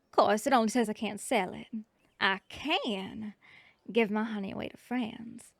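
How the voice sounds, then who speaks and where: Sweet Southern bee girl honey vendor played by a woman, folksy and hospitable, mid-pitched, close dry mic, drifts into whispers. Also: a little slow, gravelly, no room sound. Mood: teasing. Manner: folksy, hospitable, teasing, drops into soft whispers. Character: friendly young bee girl selling honey at market. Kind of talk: ASMR roleplay. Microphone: close ASMR microphone.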